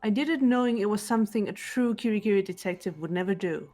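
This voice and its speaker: A woman voicing a composed, guarded teenage girl detective in an amateur game dub, measured and restrained. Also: medium pitch, mellow, soft background music, close to an everyday voice. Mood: quietly solemn. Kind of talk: amateur voice acting in a visual novel playthrough.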